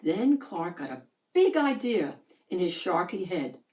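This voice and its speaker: A woman reading a children's picture book aloud in an expressive storytelling style. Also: lowish voice, a little slow, echoey room.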